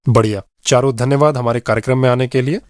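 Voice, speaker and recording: A man's voice: mid-range voice, fairly even intonation, fast talker, no room sound, studio quality. A man with an Indian accent hosting a radio show, presenting and interviewing. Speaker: radio host.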